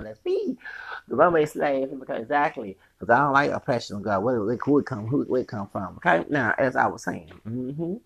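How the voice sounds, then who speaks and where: A man with a feminine-sounding voice rants alone on his podcast, rambling and profane. His tone is husky and gravelly, and his pitch leaps all over the place, while laughing, sing-song asides cut in. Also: fairly bright tone. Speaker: podcast host. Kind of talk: rambling solo rant.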